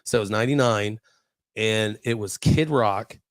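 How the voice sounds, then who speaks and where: A man's voice: on the low side, normal speed, no room sound. An American man around forty telling stories in a casual, profane, slangy podcast chat style. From Ohio, United States.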